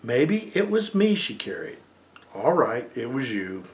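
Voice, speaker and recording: A man reading a storybook voices an earnest, defensive little boy who speaks haltingly and insistently. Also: medium pitch, big pitch swings.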